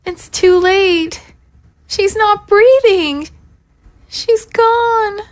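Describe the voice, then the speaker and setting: An actress playing a worried, hesitant young daughter from a rough settlement in an audio drama. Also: female voice, very high-pitched, drawn-out words, exaggerated voice. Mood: panicked, grieving.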